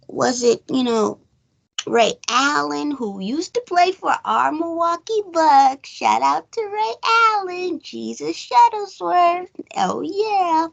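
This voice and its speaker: A woman's voice: very high-pitched, vocal fry. An American woman co-hosting a casual film review, talking in an enthusiastic, slangy, conversational way. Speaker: podcast co-host reviewing a film.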